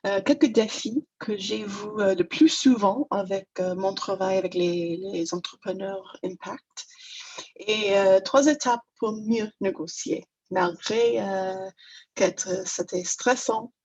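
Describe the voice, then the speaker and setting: A woman's voice: mid-range voice, takes it slowly, vocal fry, a little mellow, slightly rough, rough recording. A woman from Toronto with an English Canadian accent, speaking French as a second language in a webinar, hesitant and self-deprecating with many fillers. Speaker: negotiation trainer. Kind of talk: webinar presentation.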